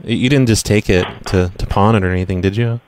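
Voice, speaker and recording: A man with a fairly low voice is making a casual prank call. He sounds nonchalant and plays innocent, yet keeps pressing his point insistently. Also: brisk. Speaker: prank caller.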